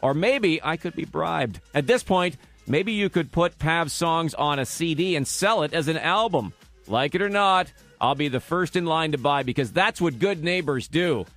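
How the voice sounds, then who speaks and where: Male sports radio host, slightly high voice, animated delivery, joking and wry, loose studio banter. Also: normal speed, soft background music. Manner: wry, joking, mock-serious.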